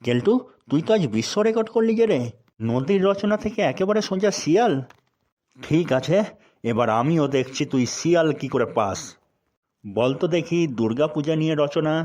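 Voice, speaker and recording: A fed-up cartoon village schoolteacher, voiced by a man,. He scolds his pupils with dry sarcasm. His voice sits a little high for a man. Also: expressive, normal speed, darkish tone, natural voice. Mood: exasperated. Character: exasperated middle-aged male village schoolteacher. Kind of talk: cartoon voice acting.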